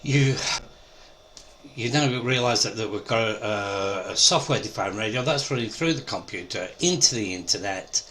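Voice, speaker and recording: A man's voice: on the low side, expressive, moderate pace, pushed and bright, a little hiss or hum. A man presenting an amateur radio tutorial, explaining methodically step by step over a demonstration.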